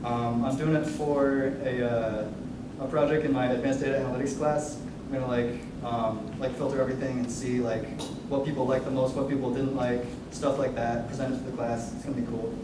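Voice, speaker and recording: A man's voice: medium pitch, fairly even intonation, fairly quick, few pauses, noisy background. A young man giving a casual, irreverent, hesitant announcement to introduce a staged reading. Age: young adult. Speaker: writer presenting his musical and performer. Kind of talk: informal pre-show announcement. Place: indoor room at a staged reading. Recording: distant, very echoey room recording, music.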